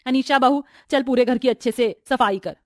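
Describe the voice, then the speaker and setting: Woman acting a scolding, bossy mother-in-law, high voice. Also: moderate pace, dry recording, close to an everyday voice. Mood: bossy, sarcastic. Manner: sarcastic, domineering, sharp-tongued.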